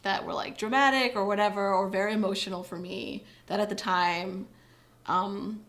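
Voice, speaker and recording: A woman's voice: medium pitch, steady tone, moderate pace, a bit bright. Chatty woman, laughing often. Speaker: guest writer. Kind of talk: casual podcast chat.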